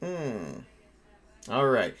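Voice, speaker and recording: A man casually narrating a tabletop role-playing game as game master, excitable and quick to swear. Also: medium pitch.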